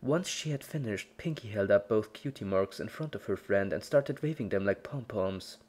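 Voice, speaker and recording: A woman with a very deep voice for her sex narrates a horror story. She reads from a script in a dramatic storytelling style. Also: expressive, normal speed, a little mellow, slightly rough.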